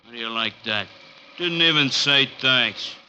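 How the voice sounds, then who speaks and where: An actor playing a burly, brash street tough in a 1940s radio drama, loud and swaggering. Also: male voice, mid-range voice, unhurried, clear, resonant tone, poor audio.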